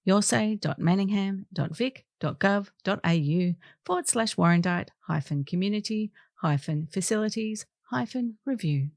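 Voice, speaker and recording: A woman's voice: fairly low pitch, vocal fry, no room sound, clean studio sound. A woman reading local newspaper articles aloud as scripted narration. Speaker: volunteer newspaper reader.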